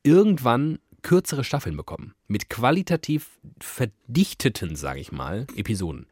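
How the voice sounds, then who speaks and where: Animated man bantering on a podcast. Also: mid-range voice, normal speed, husky, no room sound, studio quality. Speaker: podcast co-host. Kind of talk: casual banter.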